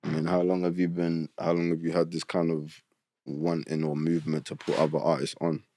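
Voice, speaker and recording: Deep-voiced playful podcast host man. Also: brisk, dry recording. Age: 30s. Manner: playful, animated, joking with the guest. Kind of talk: casual interview chat.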